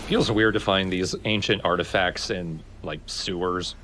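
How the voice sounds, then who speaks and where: A man's voice: deep voice, steady tone, unhurried, a bit bright. A man co-commentating on a video game, joking and musing in casual chat with friends. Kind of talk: casual chat over gameplay. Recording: faint background noise.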